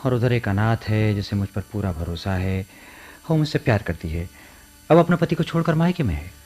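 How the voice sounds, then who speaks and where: A man's voice: on the low side, expressive, normal speed, darkish tone, slight background noise, lightly acted. A man playing an earnest, kindly, lovelorn man in a radio play, with dramatic delivery. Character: earnest, kindly, lovelorn man torn between two women. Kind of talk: Hindi radio play acting.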